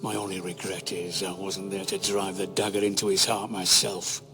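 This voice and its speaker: An actor playing an older, hateful rival lord in a fantasy game drama, taunting and contemptuous. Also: male voice, sits low, little pitch movement, normal speed, pushed and bright, faint music behind. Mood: gloating scorn. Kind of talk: dramatic video game acting.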